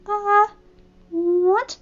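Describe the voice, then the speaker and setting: A woman voicing a shy, timid young female pegasus pony who speaks softly and haltingly. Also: very high voice, animated, highs cut off, soft background music, voice pushed up. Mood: bashful. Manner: soft hesitant stammering.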